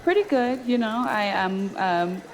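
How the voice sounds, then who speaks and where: A woman's voice: medium pitch, expressive, a little slow, poor audio. A woman chatting casually as a panelist on a group podcast. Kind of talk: casual chat. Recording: background voices.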